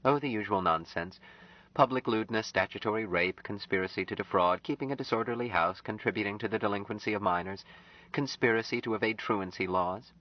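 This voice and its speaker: A man reading an audiobook, voicing an earnest young woman who gently insists and reassures. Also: lowish voice, fairly quick, close to an everyday voice. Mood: reassuring. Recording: slightly dull lo-fi audio.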